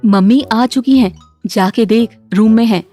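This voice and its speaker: A woman playing a clingy, excitable young wife with a playful, threatening streak in an audio drama. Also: on the high side, normal speed, faint music behind, natural voice. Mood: coaxing.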